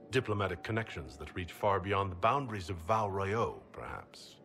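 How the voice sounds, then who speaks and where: An actor playing a steady, gallant leader in a video game, speaking in a courteous, direct and diplomatic manner. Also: male voice, low-pitched, moderate pace, faint music behind. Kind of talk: video game cutscene acting.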